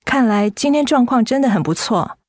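A woman's voice: a little high, expressive, moderate pace, no room sound, studio quality. A woman playing a devoted young adult daughter in a radio drama, emotional and plaintive, reluctant to let go. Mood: caring. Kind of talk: Mandarin radio drama acting.

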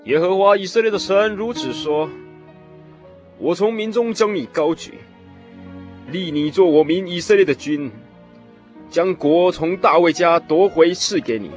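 An actor playing an aged, stern blind prophet who pronounces doom solemnly in a radio drama. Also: male voice, high voice, measured pace, long pauses. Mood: stern, wrathful. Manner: solemn, declamatory. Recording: music behind the voice.

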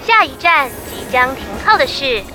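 A woman's voice: sits high, animated, normal speed, music in the background. A woman playing a polite, formal train announcer in a children's audio drama.

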